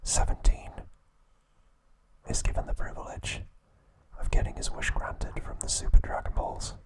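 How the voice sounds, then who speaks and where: A man hosting an ASMR video, recapping a story in a soft, unvoiced whisper. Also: rough recording. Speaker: ASMR host.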